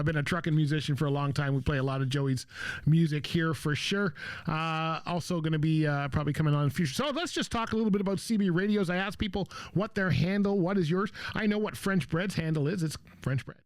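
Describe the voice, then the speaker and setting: A man's voice: fairly high pitch, fairly quick, no echo. An older man hosting a radio show in a casual, rambling way, with many fillers and frequent chuckles. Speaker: radio host.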